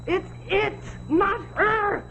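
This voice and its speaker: A woman's voice: very high-pitched, very slow, gravelly, fairly bright tone, full character voice. A woman acting a bitter, frightened, nagging wife in 1940s television suspense style, scornful and melodramatic. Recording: noisy 1940s television soundtrack.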